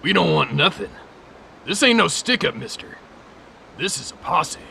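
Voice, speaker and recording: A man acting a gruff cowboy in an old-time radio western. His voice is heavily creaky, sharp and scornful, with some noise behind it. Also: a little high, very animated, moderate pace, heavily acted, rough recording. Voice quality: gravelly. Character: gruff, folksy posse cowboy, scornful of captives.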